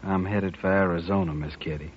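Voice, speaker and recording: An actor playing a courteous, reserved riverboat musician in a 1950s radio western, on a muffled old recording. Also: male voice, deep voice, fairly even intonation, brisk. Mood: polite, reserved. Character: courteous, reserved, drifting riverboat guitar player.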